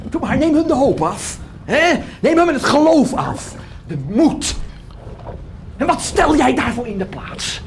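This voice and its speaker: A cynical indulgence-selling monk in a radio drama, sneering and theatrical. The pitch leaps wildly while the pace stays slow and savoring, over a clearly noisy recording. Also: male voice, very animated, full character voice. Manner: hawking, sneering, exaggerated showmanship. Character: cynical, mocking monk peddling indulgences like a showman.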